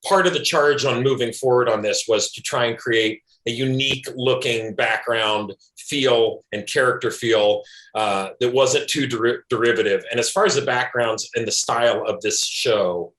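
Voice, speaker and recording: A man giving an interview as the showrunner of an animated series. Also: medium pitch, moderate pace. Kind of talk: interview talk. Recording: echoey room.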